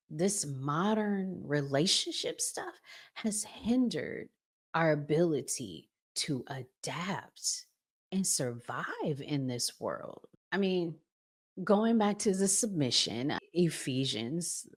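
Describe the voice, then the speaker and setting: A woman hosting a relationship podcast, talking to viewers in an emphatic, preachy and informal way, often with rhetorical questions. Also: low voice. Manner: informal, colloquial phrasing. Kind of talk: conversational opinion talk to viewers.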